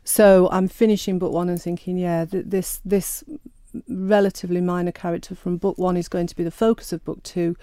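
Author guest, woman, mellow lowish voice, chatty and hesitant, dry close sound. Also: level tone, fairly quick, no room sound. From Sheffield, England. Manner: chatty, hesitant with many ums and restarts. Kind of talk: radio interview.

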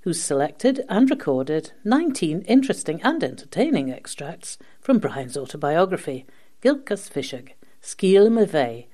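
A woman's voice: low voice, mellow. A woman presenting a scripted radio magazine programme.

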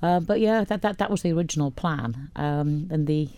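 A woman's voice: low-pitched, moderate pace, dark tone, dry recording. A woman from Wales in her later years, a radio guest chatting casually about her life, rambling with lots of restarts. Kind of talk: casual interview chat.

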